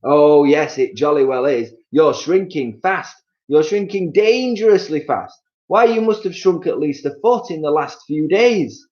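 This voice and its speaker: A man puts on a spiteful old prankster from a storybook, loudly jeering and gloating in a raised voice. His taunts bounce up and down with lively pitch. The recording is dull and lo-fi. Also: a little slow. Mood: taunting.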